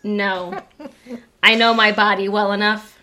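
Lady cohost, bright tense voice gone a bit deep from allergies, light banter. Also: little pitch movement, slow. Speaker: podcast co-host. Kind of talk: casual chat.

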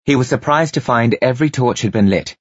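A man's voice: medium pitch, brisk, husky, dry recording, highs cut off. A man reading scripted story narration as an audiobook narrator, with dramatic delivery.

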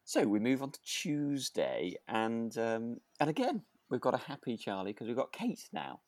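A man co-hosting a relaxed, chatty podcast, talking in a good-humoured, hesitant way and often correcting himself. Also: medium pitch, normal speed. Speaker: podcast co-host. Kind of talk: relaxed casual chat about a radio drama.

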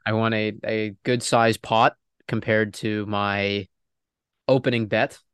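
A young man co-hosting a casual sports podcast while he is ill. His voice is smooth and clear, sitting a little low. He talks in an easygoing, confident way, and the recording is dry with no room echo. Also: flat delivery, slow pace, fairly bright tone. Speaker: podcast co-host.

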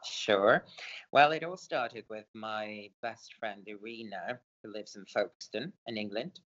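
Slow-talking Swedish-born man, hesitant. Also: fairly low pitch, fairly bright tone, highs cut off. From Sweden. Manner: hesitant, many fillers and hedges. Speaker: author guest. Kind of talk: casual interview answers.

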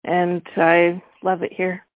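Canadian woman chatting as a podcast guest, talks slow, thin phone audio. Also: lowish voice, monotone. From Vancouver, Canada. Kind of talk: casual chat. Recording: tinny phone-like connection.

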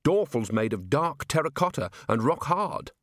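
A man's voice: fairly high pitch, very animated, normal speed, fairly bright tone, dry recording, clean studio sound, voice pushed up. A man voicing an earnest, polite young watchman in an audiobook, explaining things patiently and precisely. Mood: earnest, reasoning. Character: earnest, polite, literal-minded young male city watchman. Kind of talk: audiobook character voice.

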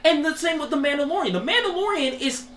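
A man's voice: very high-pitched, lively intonation, quick pace, a bit bright. A man in a casual group podcast chat, insistent, repeating words for emphasis. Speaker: podcast co-host. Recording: echoey room, faint background noise.

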